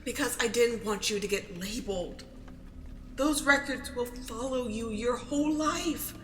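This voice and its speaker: A woman's voice: on the high side, unhurried, a bit bright. A woman playing a defensive, overburdened single mother in an audio drama, pleading and emphatic. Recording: roomy echo, faint noise.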